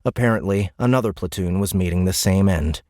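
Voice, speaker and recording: Low-voiced man narrating a tense audiobook. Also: a little fast, darkish tone, no echo, studio quality. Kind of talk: dramatic scripted narration of military science fiction. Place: dry recording studio.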